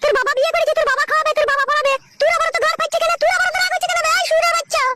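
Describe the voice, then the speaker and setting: A woman voicing a brash, foul-mouthed young village wife who threatens and insults in a shrill cartoon comedy style. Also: steady tone, rapid-fire. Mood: furious. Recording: loud background noise.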